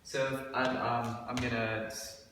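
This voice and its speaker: A man's voice: mid-range voice, little pitch movement, slow, a bit bright, recorded from a distance, a little hiss or hum. Young man presenting in an echoey room. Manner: hesitant, with many fillers and restarts. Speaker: student presenter. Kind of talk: class presentation. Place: dim lecture room beside a projector. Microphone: far room mic.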